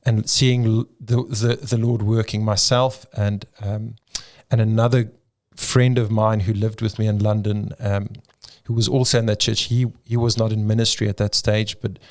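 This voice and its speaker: A man's voice: on the low side, little pitch movement, moderate pace, hardly any pauses, darkish tone. A middle-aged South African man, a pastor whose first language is Afrikaans, telling his story in an interview with frequent ums and restarts. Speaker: pastor and church planter.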